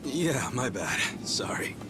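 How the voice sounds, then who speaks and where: A man's voice: mid-range voice, sing-song, slow, heavy background noise. An actor playing an earnest man in his forties who dreams of being a hero, in a game cutscene with music behind. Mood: downcast. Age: 40s. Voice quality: earnest, wistful and easily fired up. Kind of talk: video game cutscene dialogue.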